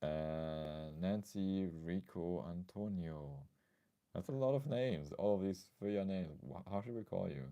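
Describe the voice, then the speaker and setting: Male livestream host, extremely low mellow voice, a bit scratchy, casual and thoughtful. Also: a little slow. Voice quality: slightly scratchy.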